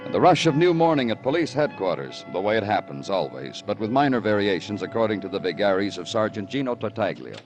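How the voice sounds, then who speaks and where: An actor playing a weary, poetic police detective in 1950s radio noir, with clipped, lyrical phrasing. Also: male voice, on the low side, sing-song, fast talker, hardly any pauses. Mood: wistful. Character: weary, poetic hard-boiled New York police detective. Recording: vintage radio drama, music behind voice.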